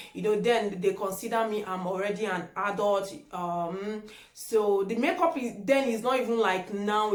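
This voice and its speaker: A woman of about thirty with a Nigerian accent, a native Yoruba speaker, chatting casually as a vlogger and repeating words for emphasis. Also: medium pitch. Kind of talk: casual chat answering tag questions. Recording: echoey room.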